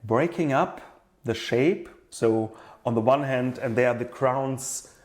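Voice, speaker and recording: Male interviewee, product designer: mid-pitched, thoughtful, halting explainer who circles back over his words.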